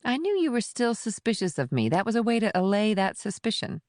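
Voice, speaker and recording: A man's voice: sits high, big pitch swings, fairly quick, a little mellow, no echo, clean studio sound, voice pushed up. A man voicing a menacing, taunting female agent in an audiobook thriller. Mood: flat. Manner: taunting, threatening. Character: cold menacing woman agent with gun. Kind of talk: audiobook narration.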